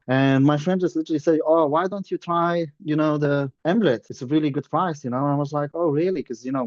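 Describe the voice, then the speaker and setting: A man's voice: medium pitch, a little mellow, dry recording. A man from Lithuania with a Lithuanian accent, telling his story in a chatty, plain-spoken webinar talk over a video call. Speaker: guest presenter, surveyor. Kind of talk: casual webinar presentation. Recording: dull lo-fi call audio.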